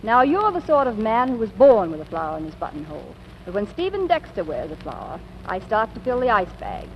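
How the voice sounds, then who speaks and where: An actress playing a brisk, witty, lovelorn secretary with a wry, teasing manner in a 1940s radio comedy. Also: female voice, medium pitch, very animated. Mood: wry, fond. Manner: wry, quick-witted, teasing. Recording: dull, noisy old radio recording.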